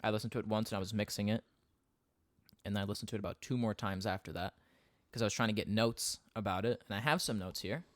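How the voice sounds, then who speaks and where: A young man co-hosting a podcast, chatting casually in a quick, rambling way and laughing often. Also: lowish voice, fairly bright tone, no echo. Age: young adult. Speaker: podcast co-host. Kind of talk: casual chat. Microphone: studio podcast mic.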